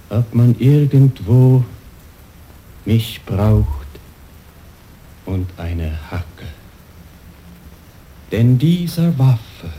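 An actor playing a solemn, humbled samurai knight in an old-fashioned radio play, declaiming haltingly with long pauses. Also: male voice, lowish voice, warm tone. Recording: faintly noisy old radio recording.

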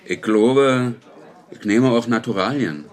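An actor playing a sly, leering card player who talks suggestively in a radio drama. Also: male voice, mid-range voice, slow pace, close to an everyday voice.

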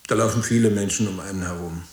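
An actor playing a weary, guarded pastor in a radio drama, curt and defensive, with hesitant moments. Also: male voice, sits low, steady tone, fast, echoey room, lightly acted. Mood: subdued, guarded. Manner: curt, brusque, questioning, hesitant at times.

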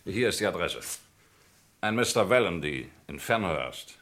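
An actor playing a pompous senior partner of a law firm, speaking in a formal, ceremonious way in an old radio crime play. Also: male voice, deep voice, sing-song, a little slow, fairly bright tone, full character voice. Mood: genial. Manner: formal, ceremonious speech-making. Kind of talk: 1950s-80s German radio crime play acting.

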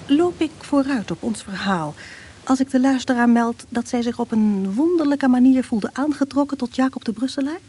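A woman's voice: sits high, moderate pace, warm tone, slightly rough. An actress playing a wry female storyteller, narrating a radio drama in a theatrical manner. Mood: wry, confiding.

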